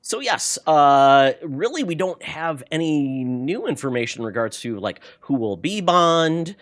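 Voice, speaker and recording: A man's voice: on the high side, very animated, a little slow, no room sound. Man in dry, casual podcast chat. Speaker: podcast co-host. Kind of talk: casual movie news chat.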